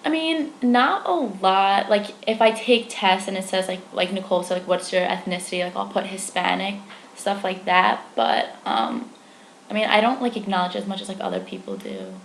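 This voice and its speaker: A woman's voice: medium pitch, lively intonation, normal speed, slight background noise. A young woman answering interview questions in a casual, hesitant way, full of "like" fillers. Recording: echoey room.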